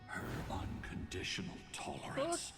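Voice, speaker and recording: An actor playing a grave, weary man who recounts ancient history in slow, solemn, measured game dialogue. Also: close to an everyday voice. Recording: reverberant game audio over loud music.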